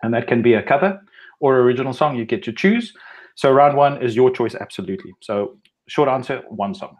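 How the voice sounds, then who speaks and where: A man hosting and moderating a live online chat, talking in a relaxed way with small stumbles. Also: medium pitch, brisk, muffled recording. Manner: relaxed, stumbling over words. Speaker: host and moderator. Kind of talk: casual live stream chat.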